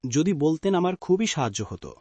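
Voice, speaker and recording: A man's voice: fairly high pitch, big pitch swings, fast, dark tone, no room sound. A man playing a polite, trusting factory manager from town in a cartoon, with a courteous way of talking. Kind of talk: cartoon voice acting.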